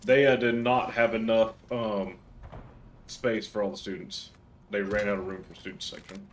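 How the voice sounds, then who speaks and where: Croaky, stuffed-up guy, lowish voice. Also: level tone, moderate pace. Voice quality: croaky, congested. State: stuffed up, hoarse from screaming. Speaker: game streamer. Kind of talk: casual chat while gaming.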